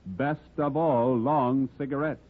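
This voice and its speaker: A man announcing in a formal, measured, official 1950s radio style with precise diction. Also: mid-range voice, fairly even intonation, phone-quality sound. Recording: thin vintage radio, loud music.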